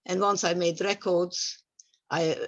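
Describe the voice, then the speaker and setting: A woman in her eighties from Hungary with a Hungarian accent, giving personal testimony in a reflective, halting way, repeating words for stress. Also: lowish voice, steady tone. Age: 80s. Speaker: Holocaust survivor giving testimony. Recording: dull, muffled lo-fi sound.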